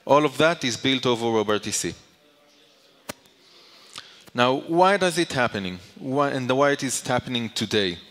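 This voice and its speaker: A man's voice: medium pitch, lively intonation, normal speed, pauses often, fairly bright tone. Man presenting in a reverberant hall. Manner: explanatory, poses questions then answers them. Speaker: conference speaker. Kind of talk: conference presentation to an audience. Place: large conference hall. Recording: reverberant hall, long echo.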